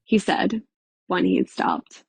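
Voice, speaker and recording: A woman narrating a dramatic audiobook in a measured, expressive storytelling manner. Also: lowish voice, breathy edge, no echo. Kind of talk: scripted dramatic fiction narration.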